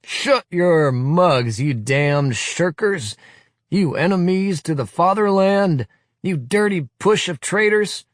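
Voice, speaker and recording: A man's voice: high voice, expressive, slow, no echo, clean studio sound, voice pushed up. A man barking as a paunchy drill leader bellowing orders. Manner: barking commands.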